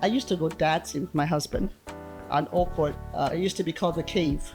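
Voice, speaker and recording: A woman's voice: deep voice, brisk, darkish tone, soft background music. A woman from Italy, chatting about old times in an interview. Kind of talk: interview recollection.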